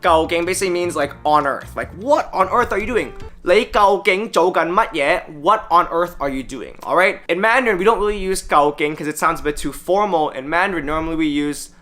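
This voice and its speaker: Bright-voiced upbeat male language tutor. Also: sits high, fairly quick, soft background music. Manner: upbeat, with wry joking asides. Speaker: language teacher and host. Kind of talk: casual explanatory tutorial.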